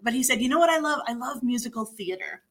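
A woman with a bright, lively-sounding voice, a little higher than most, talks casually on a community radio show. She explains ideas step by step in a friendly teaching way and keeps asking "right?" to check in. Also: fast talker. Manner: explanatory, tags "right?" often. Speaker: accent coach.

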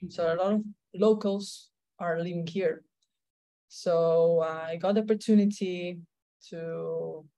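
A woman's voice: medium pitch, mellow. A woman with a Slovenian accent, a tennis coach telling her story in an interview, with many fillers and restarts. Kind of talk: conversational interview answers.

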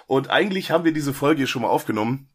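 A man's voice: medium pitch, animated, fast, slightly rough. A man hosting a horror movie podcast, talking casually with lots of fillers and jokes while he has a cold. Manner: chatty, joking, many fillers and restarts. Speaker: podcast host.

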